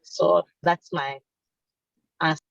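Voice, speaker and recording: Woman panelist, low, earnest and explanatory, dull dry sound. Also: fairly even intonation, slow pace, gravelly, dry recording. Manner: earnest and explanatory, acts out example statements. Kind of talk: advisory talk in an online panel.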